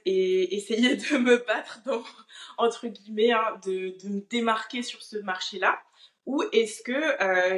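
A woman's voice: mid-range voice, reverberant, poor audio. A woman in her thirties from France, answering interview questions thoughtfully with many fillers over a video call. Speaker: guest, online business manager.

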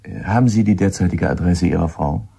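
A deep, husky, relentless police inspector. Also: male voice, fairly quick, warm tone, full character voice. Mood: probing. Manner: probing, insistent. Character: shrewd, relentless male police inspector in rural France. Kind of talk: German radio drama acting.

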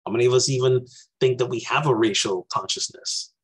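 A man's voice: medium pitch, brisk, husky. A man in his forties from Barbados, giving an earnest, reflective talk over a video call from a small room. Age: 40s. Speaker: minister. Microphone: webcam on a video call.

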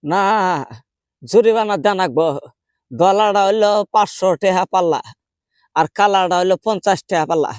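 A man acts out a quarrelsome old grandmother from a village, high in pitch and speaking rural Bangladeshi dialect. She complains and haggles stubbornly, as in a comic prank call, with a dry sound free of room echo. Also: normal speed, pauses often, natural voice. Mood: indignant, insistent. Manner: insistent, complaining, argumentative.

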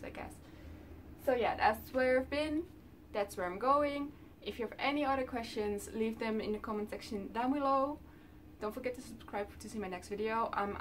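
Young Dutch-accented woman vlogging from her room, casual and rambling, mid-pitched voice, mic set back with light background hiss. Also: far from the mic. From Netherlands. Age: 20s. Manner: chatty, lots of ums, talks with her hands. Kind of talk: casual talk to camera. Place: small bedroom indoors.

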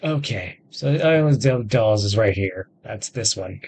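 A man's voice: fairly low pitch, animated, moderate pace, a little mellow, slight background noise. A man in his thirties giving casual, offhand gameplay commentary as a video host, close to the mic. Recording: game music behind the voice.

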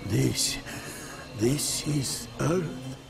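A man voicing a weary, wistful Soviet cosmonaut captain in a video game cutscene, speaking haltingly. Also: mid-range voice, vocal fry, heavily acted. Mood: weary wonder. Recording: music behind the voice.

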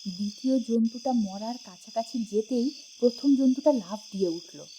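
A woman reading a scripted first-person suspense story with dramatic, expressive storytelling. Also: a little high, moderate pace, slight background noise, low-quality recording. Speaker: audiobook narrator.

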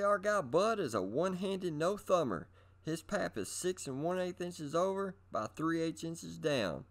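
A middle-aged man giving scripted instructional narration in an upbeat presenter manner, speaking straight to his viewers. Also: high-pitched. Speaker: host of a bowling instruction video.

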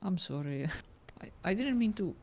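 A woman improvising in-character conversation in an online game, halting as she searches for words. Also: on the low side, lively intonation, vocal fry, background noise.

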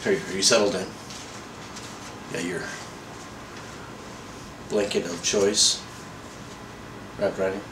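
A man's voice: low voice, steady tone, slow, pauses often. Middle-aged man reading stories, roomy sound. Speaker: storyteller reading aloud. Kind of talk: casual chat and informal story reading. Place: small indoor room. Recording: roomy echo, faint background noise.

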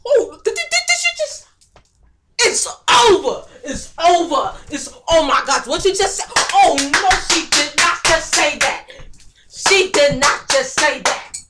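A woman's voice: on the high side, very animated, moderate pace, very noisy. A woman playing a confrontational young female bully in a dramatic monologue, with slangy, repetitive taunts. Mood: outraged.

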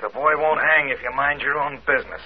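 An actor playing a curt, threatening anonymous caller through a telephone filter in an old radio drama. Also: male voice, mid-range voice, fairly quick, slightly rough, dull audio. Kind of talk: 1940s radio acting.

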